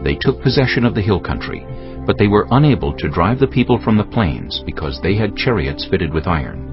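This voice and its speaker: A man narrating scripture from a script in a measured, solemn storytelling manner. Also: low voice, big pitch swings. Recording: dull lo-fi, music behind. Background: music.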